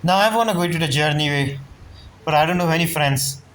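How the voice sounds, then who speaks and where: Casual game streamer, mid-pitched. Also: brisk, fairly bright tone, a little hiss or hum, low-quality recording. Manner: informal, muttered interjections and repeated phrases. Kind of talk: casual gameplay commentary. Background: faint game music.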